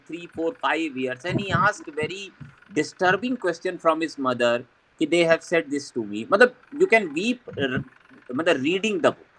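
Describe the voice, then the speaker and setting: A man's voice: fairly high pitch, fairly bright tone, rough recording. A man speaking earnestly on a video call panel, emphatic and repeating himself as he searches for words. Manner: emphatic, with frequent restarts. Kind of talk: earnest discussion talk. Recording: faint background noise.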